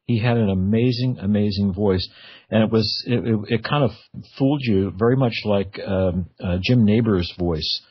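Low, husky male radio host. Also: moderate pace, no echo. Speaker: radio talk show host. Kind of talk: casual radio interview. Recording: dull, lo-fi radio audio.

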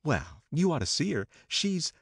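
A man's voice: sing-song, a little slow, slightly rough, no echo, studio quality, heavily acted. A man putting on a gushing young society woman. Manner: breathless, gushing, high and bright.

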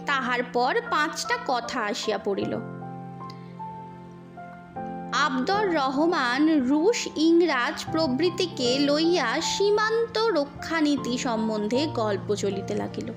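A woman narrating a literary story in a measured, expressive audiobook reading. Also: high voice. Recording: music bed under the voice. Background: background music.

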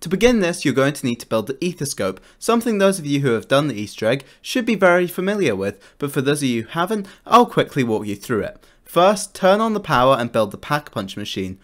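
A man's voice: a little high, very animated, a little fast. A man narrating a scripted video game guide in an informal, enthusiastic way, like a YouTuber. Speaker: gaming YouTuber.

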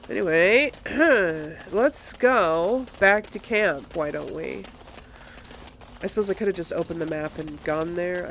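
A woman's voice: low voice, gravelly, a bit bright, slight background noise. A woman giving casual let's play commentary, rambling and thinking aloud as she goes. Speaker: gamer, channel host.

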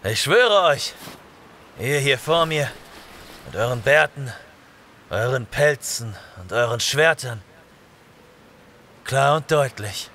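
An actor playing a young barbarian warrior in an audio drama, exhausted and dazed, brooding and halting. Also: male voice, medium pitch, lively intonation, pushed and bright, a little hiss or hum, exaggerated voice. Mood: insistent. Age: young adult.

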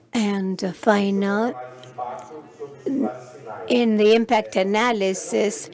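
Slow, gravelly woman interpreting live. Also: mid-range voice, darkish tone. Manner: halting, frequent restarts and fillers while rendering speech. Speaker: simultaneous interpreter.

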